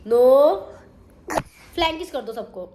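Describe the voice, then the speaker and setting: A young woman, a mother, chatting to the camera at home in a casual family vlog and coaxing her toddler, her voice hoarse from a cold. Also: high-pitched, lively intonation, slow, noisy background. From Himalayan hill region of India.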